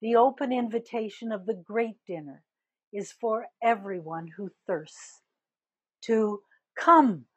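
Older woman minister, husky, measured sermon delivery, dry close sound. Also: fairly high pitch, no room sound. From Canada. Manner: slow, deliberate preaching with emphatic rises on key words.

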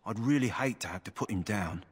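A kindly, concerned kennel keeper in a fantasy game. He is plain-spoken and earnest, with a lowish husky voice. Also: animated, brisk. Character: concerned, kindly male war-hound keeper. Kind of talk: scripted fantasy game dialogue.

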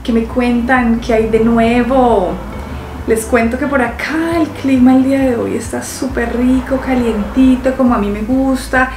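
A woman's voice: fairly high pitch, steady tone, measured pace, echoey room, background noise. Chatty Bogotá woman on a sofa. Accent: Bogotá Colombian Spanish. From Colombia. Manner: chatty and playful, with affectionate diminutives. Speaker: reaction video host. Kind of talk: casual chat to viewers. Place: living room, seated on a sofa.

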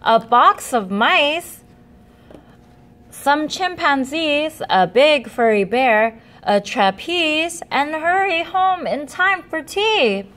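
A woman reading a picture book as an eager, hurried little boy reciting his errand list urgently. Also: high voice, expressive, a bit bright, heavily acted. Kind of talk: children's picture book read-aloud.

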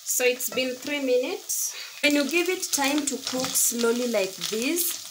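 High-voiced, unhurried Kenyan woman. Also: background noise. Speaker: home cook, video host. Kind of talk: cooking tutorial voice-over.

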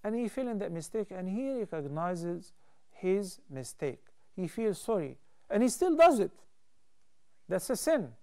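A man in his thirties, a studio TV scholar, answering callers' questions with calm, measured explanations into a lapel mic. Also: high-pitched. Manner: calm, measured, explains step by step with hand gestures. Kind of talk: studio call-in Q&A advice.

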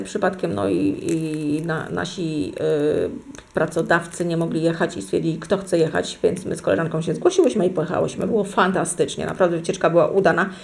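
A woman's voice: lowish voice, vocal fry, darkish tone. A middle-aged woman talking casually to her viewers at home, rambling and correcting herself as she shows souvenirs. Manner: rambling, self-correcting, addresses viewers directly. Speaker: vlogger showing her fridge magnets. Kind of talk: casual chatty unboxing vlog. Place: at home, indoors at a table.

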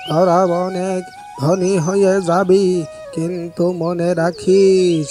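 A man playing a kindly, secretive village uncle in a cartoon fairy tale, speaking in a measured, instructive way. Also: sits high, little pitch movement, warm tone, soft background music. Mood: gentle, instructive. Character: kindly village uncle, secretive, wise keeper of a magic spade. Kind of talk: animated fairy-tale dialogue.

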